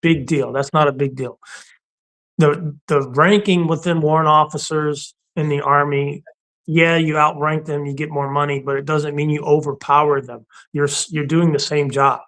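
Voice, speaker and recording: A middle-aged veteran man chatting casually on a talk show. His voice sits somewhat high for a man, and he drives his points home bluntly, repeating them and laughing between thoughts. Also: level tone, moderate pace, a bit bright. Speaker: co-host; Army warrant officer veteran. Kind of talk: casual conversation.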